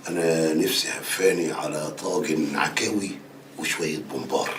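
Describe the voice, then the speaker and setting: A middle-aged man with an Egyptian accent playing a stern, pious father in a TV drama, gruff and demanding with his wife. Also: rumbling low, fairly even intonation, normal speed, a bit bright, a little hiss or hum. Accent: Egyptian Arabic. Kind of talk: TV drama acting.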